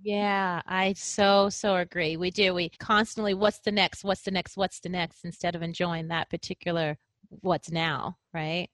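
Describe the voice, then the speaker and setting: A woman's voice: on the low side, level tone, measured pace, a bit bright, no room sound. A woman podcast host interviewing a guest, enthusiastic and affirming. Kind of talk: interview hosting. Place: lives in Guam.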